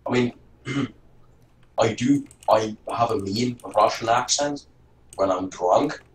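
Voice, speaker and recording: A man who runs his own games, talking in a low-key, self-deprecating way. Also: takes it slowly, echoey room, distant mic, a little hiss or hum, poor audio. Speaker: game master.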